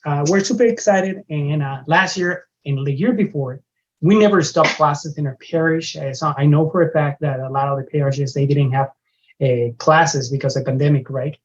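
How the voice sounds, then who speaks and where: Brief: man, strong Mexican accent, earnest parish coordinator, slightly high voice, muffled call audio. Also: normal speed, slight background noise. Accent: Mexican Spanish. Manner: earnest, repeats himself, frequent uh fillers. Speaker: parish religious education coordinator. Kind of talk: parent orientation talk over video call. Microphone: video call microphone. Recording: dull lo-fi video call audio.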